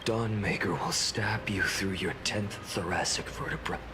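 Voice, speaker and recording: Slow, deep, weary doomed hero played by a man. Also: lightly acted. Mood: weary, restrained. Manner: grave, measured, sighing delivery. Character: weary solemn man resigned to endless doomed struggle, once human. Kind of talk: dramatic video game voice acting. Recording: game dialogue under background music.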